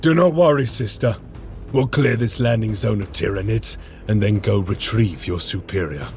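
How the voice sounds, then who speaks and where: Gravelly space marine lieutenant. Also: male voice, lowish voice, normal speed, faint music behind. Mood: commanding. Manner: commanding, formal. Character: armored space marine lieutenant, dutiful and grim. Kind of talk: game cutscene voice acting.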